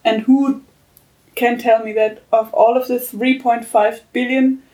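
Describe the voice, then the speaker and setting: A woman's voice: on the high side, level tone, a little slow, mellow. A woman writer speaking earnestly and reflectively in a casual podcast discussion. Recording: far from the mic.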